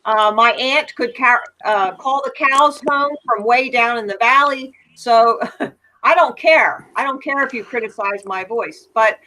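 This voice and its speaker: An American woman with a loud voice that carries, chatting casually and telling anecdotes with emphasis on an online panel. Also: a little high, a little slow, rough recording. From United States. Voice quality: loud, projecting voice. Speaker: panel guest.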